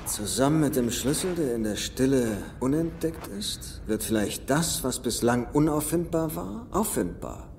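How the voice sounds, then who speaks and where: A man's voice: medium pitch, a little hiss or hum. A man acting in a film dub as a brainy, principled treasure hunter who thinks aloud. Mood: excited deduction. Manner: thinking aloud, halting then excited. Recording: long hall reverb under film music.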